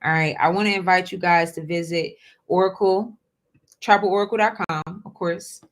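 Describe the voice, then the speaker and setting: A woman's voice: on the low side, steady tone, normal speed. A woman in her twenties hosting her own show, talking casually to viewers, often repeating herself and calling them y'all. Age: 20s. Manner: chatty, repeats words, addresses audience as y'all, asks okay?. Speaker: host. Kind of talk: casual solo talk to viewers.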